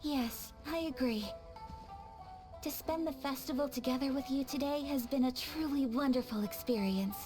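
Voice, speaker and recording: A woman playing a refined young noblewoman in a video game, gentle and earnest, with polite, measured phrasing. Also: sits high, over music, lightly acted. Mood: composed, polite.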